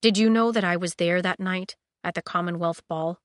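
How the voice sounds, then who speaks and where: A woman voicing a sardonic young man with a deadpan, sarcastic delivery. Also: lowish voice, normal speed, dry recording, studio quality, lightly acted.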